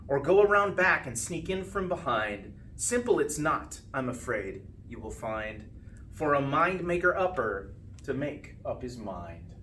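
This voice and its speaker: A man reading a rhyming children's storybook aloud with expressive, sing-song phrasing and drawn-out line endings. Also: medium pitch. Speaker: school principal reading a storybook. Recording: distant mic with faint background noise.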